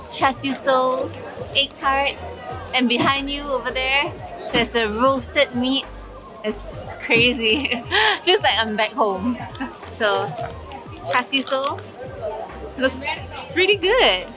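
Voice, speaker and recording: A young woman with a Singaporean accent, chatting casually as a travel vlogger while tasting street food, eager and quick to laugh. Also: high voice, crowd noise. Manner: chatty, enthusiastic tasting remarks with frequent laughs. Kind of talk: casual food vlog commentary. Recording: music bed over the voice.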